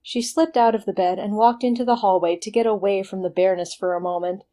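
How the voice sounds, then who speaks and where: A woman's voice: medium pitch, steady tone, fast talker, mellow. A woman working as a narrator, reading scripted material for an audio story production.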